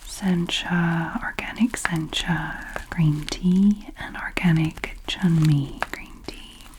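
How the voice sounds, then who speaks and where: A woman with a light Scottish accent, whispering softly and hesitantly close to the microphones as an ASMR creator. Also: low voice, fairly even intonation, slow pace, poor audio. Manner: soft unvoiced whisper, rambling and hesitant. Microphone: close to sensitive mics.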